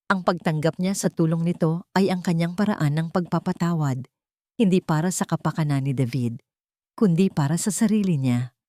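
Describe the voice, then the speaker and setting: A woman's voice: low voice, a little mellow, no room sound, studio quality. A woman reading scripted, dramatic narration as a storyteller.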